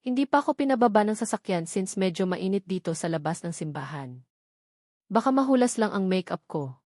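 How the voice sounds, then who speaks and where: A woman's voice: medium pitch, expressive, a little fast, a little mellow, no room sound, studio quality. A woman with a Filipino accent reading a scripted first-person romance story as an audiobook narrator.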